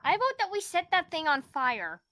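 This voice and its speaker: A woman's voice: sits high, wildly swooping pitch, moderate pace, sharp tone. A woman chatting casually as a player in a tabletop roleplaying game.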